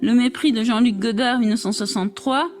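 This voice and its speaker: A woman reading a scholarly text aloud in a formal, measured way. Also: a little high, a little mellow, faint music behind. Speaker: reader of an academic text.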